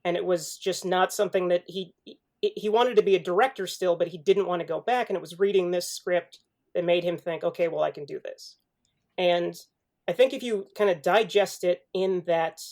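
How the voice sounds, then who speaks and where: A man's voice: sits high, darkish tone. A man in a casual, analytical film discussion on a podcast, thoughtfully qualifying his points as he goes. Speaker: panelist on a mock-trial film podcast.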